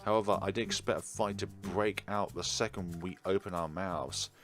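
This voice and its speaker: A man's voice: sits low, fairly even intonation, moderate pace, over music. A man hosting a let's play, reading game narration aloud and adding teasing, argumentative asides.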